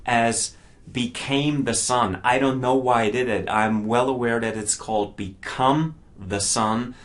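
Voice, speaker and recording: A middle-aged man who did not grow up speaking English talks through an album review in a loose, casual way. He is eager and keeps tripping over repeated words as he goes. His voice sits at an ordinary middle pitch. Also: steady tone, a little slow.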